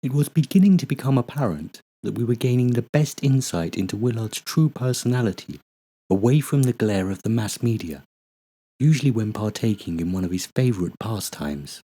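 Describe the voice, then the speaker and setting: A man reading measured, formal documentary narration. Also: mid-range voice, animated, dark tone, breathy edge.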